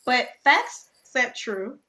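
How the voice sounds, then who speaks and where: A woman, co-hosting a podcast in lively casual chat. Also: on the high side, rough recording. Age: 20s. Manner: animated storytelling, quick asides, laughs often. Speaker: podcast co-host.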